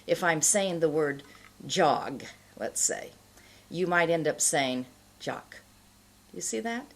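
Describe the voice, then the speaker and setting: A middle-aged woman giving an instructional pronunciation lesson, explaining in a deliberate, carefully enunciated way. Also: fairly low pitch. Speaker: pronunciation teacher. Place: indoor studio, plain backdrop.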